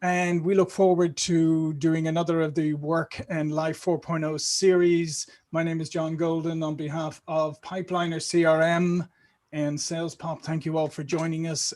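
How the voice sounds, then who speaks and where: Guy hosting an online panel, upbeat, thanking everyone, voice a bit high. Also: flat delivery, normal speed, a little mellow. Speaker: host. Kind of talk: panel moderation.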